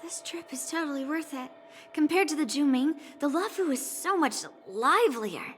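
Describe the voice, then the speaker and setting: Brash hot-headed sword girl from a game, high pitched, sharp tongue, music behind. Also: expressive, normal speed. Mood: cocky. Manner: taunting, brash, blunt. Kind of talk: video game character acting.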